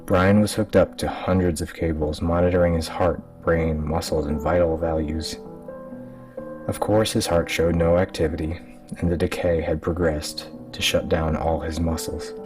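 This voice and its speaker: A man's voice: low-pitched, fairly even intonation, normal speed. A man reading a scripted horror story as a dramatic narrator. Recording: distant mic with music underneath. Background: music.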